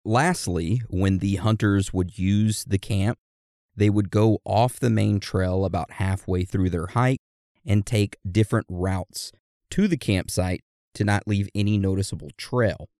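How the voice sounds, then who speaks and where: A man's voice: fairly low pitch, measured pace, darkish tone, no echo, studio quality. A man co-hosting a casual chat, telling eerie stories. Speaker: podcast co-host.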